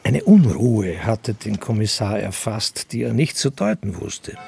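A man reading scripted radio drama narration in a measured, literary and dry manner. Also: on the low side, very animated, gravelly, dark tone.

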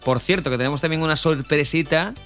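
Higher-pitched Spanish man hosting radio. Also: quick pace, a bit bright. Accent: Spain. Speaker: radio host. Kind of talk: casual radio chat with some scripted narration. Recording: music behind the voice. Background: music bed.

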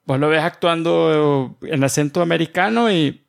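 A man's voice: fairly high pitch, moderate pace, gravelly, smooth. A man co-hosting a casual podcast, dropping English phrases into his talk. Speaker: podcast co-host. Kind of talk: casual chat.

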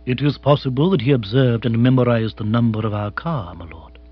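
A man voicing an imperturbable, deferential English manservant in a comic storybook, formal, measured, precise and deadpan. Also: mid-range voice, husky, lo-fi sound, natural voice. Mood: unruffled, deferential.

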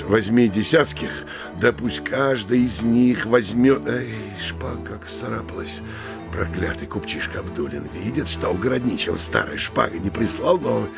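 A nervy bossy town governor, gravelly, swings from mutters to barked orders, audio play. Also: male voice, mid-range voice. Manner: barking orders, muttering asides. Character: bossy, anxious, scheming male provincial town governor. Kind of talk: theatrical comedy audio drama acting.

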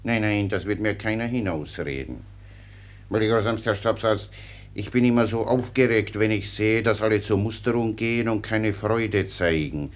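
Man acting a low-voiced, servile simpleton soldier. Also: moderate pace, natural voice. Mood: earnest, servile. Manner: servile, rambling, naively earnest. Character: cheerful, simple-minded male soldier playing the obedient idiot. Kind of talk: German radio play acting.

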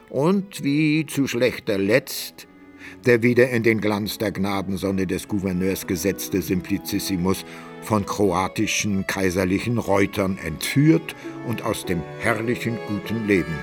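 Radio drama narrator: grave, deliberate storyteller; unhurried phrasing over soft music. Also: male voice, on the low side. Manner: measured, long deliberate phrases. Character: solemn male storyteller recapping his eventful life. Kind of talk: scripted literary narration.

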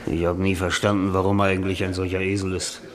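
An actor in a German radio drama playing a boozy, stubborn, faded writer, rambling and grumbling in a theatrical, tipsy way. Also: male voice, low voice, little pitch movement, fast, a crowd in the background, natural voice. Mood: confiding, dismissive. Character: boozy, stubborn, faded older writer, self-pitying and belligerent.

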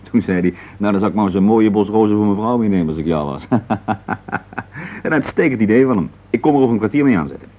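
Actor as a brisk, low-voiced businessman husband. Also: lively intonation, close to an everyday voice. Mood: cheerful, placating. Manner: brisk, patronising, full of tag questions. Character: busy businessman husband, dismissive, impatient, placating. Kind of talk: radio play acting. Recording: dull lo-fi radio play recording.

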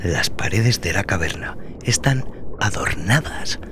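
A man narrating dramatic scripted horror as a podcast host, brooding, theatrical and deliberate in his delivery. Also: deep voice, big pitch swings, background noise. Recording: loud music under the voice.

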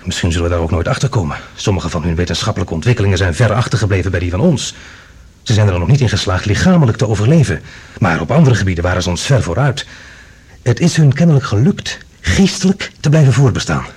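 A man's voice: deep voice, sing-song, quick pace, darkish tone, breathy edge, full character voice. A male actor playing an agitated, earnest man bringing alarming news in a science fiction radio play. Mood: awed, grave. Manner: urgent, earnest, dramatic.

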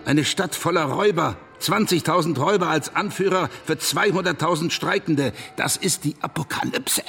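A man's voice: a little high, moderate pace. An actor playing a bitter, foreboding reactionary man in a radio drama, speaking in accusatory rhetorical questions. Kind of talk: dramatic radio play monologue. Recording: studio radio play with loud music.

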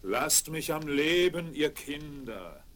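An actor playing a friendly big enchanted bear, speaking solemnly and reassuringly in a children's fairy-tale radio play. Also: male voice, fairly high pitch, animated, slow, fairly bright tone, slight background noise. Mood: gentle, solemn.

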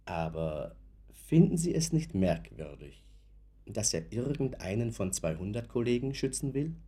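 Careful sceptical lawyer in radio play, low dark rough-edged voice, polite, probing. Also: male voice, very animated, full character voice. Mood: measured, sceptical. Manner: deliberate, courteous, probing. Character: measured, sceptical male lawyer, courteous and probing. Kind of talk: German radio play acting.

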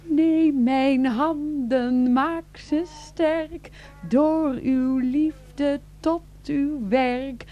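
A woman acting an excitable, hymn-obsessed household member in an absurd radio play, insistent and reciting, over background noise. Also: high voice, drawn-out words, muffled recording, faint music behind. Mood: insistent, devout. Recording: clear background noise and hum.

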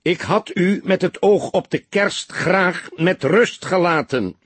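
A man's voice: sits high, slow, husky, no echo, voice pushed up, full character voice. A man voicing a seasoned, kindly older police detective in an audiobook, with a wry, fatherly, assured manner. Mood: loud, apologetic.

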